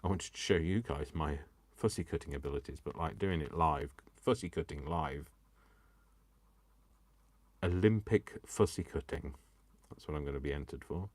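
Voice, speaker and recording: A man from Coventry with a Coventry English accent softened by years in Yorkshire, chatting and teasing himself as he demonstrates crafts live. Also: very deep voice, moderate pace, dry recording. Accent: Coventry English, worn by years in Yorkshire. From England. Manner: chatty and self-mocking, talks to himself and the viewers. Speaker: crafting livestreamer.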